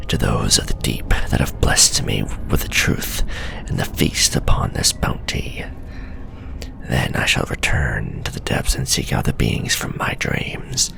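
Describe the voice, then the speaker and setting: A man voicing a male scholar sliding into madness, whispering a fevered, gloating journal in a hushed ASMR horror roleplay. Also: extremely low pitch, a little hiss or hum, heavily acted. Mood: menacing. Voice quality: gravelly whisper.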